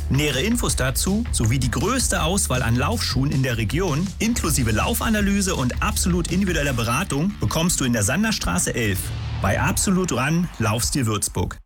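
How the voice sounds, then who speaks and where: A man reading a scripted radio advertisement as an announcer. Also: a little high.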